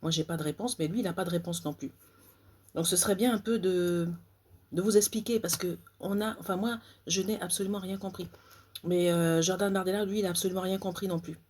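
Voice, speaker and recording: A woman from Côte d'Ivoire addresses her audience directly in a vlog. Her voice sits low and sounds husky. She talks in an indignant, halting way, stumbling over fillers and repeating herself. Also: fairly even intonation, darkish tone. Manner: indignant, hesitant, many fillers and repeated phrases. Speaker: author. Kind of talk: direct-to-camera vlog rant.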